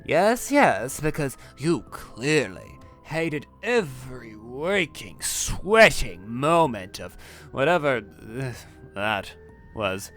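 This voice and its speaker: A man playing a sly, taunting demon messenger in an animated roleplay, with a teasing, sarcastic drawl. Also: medium pitch, takes it slowly, soft background music, exaggerated voice. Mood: mocking. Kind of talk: Minecraft roleplay voice acting.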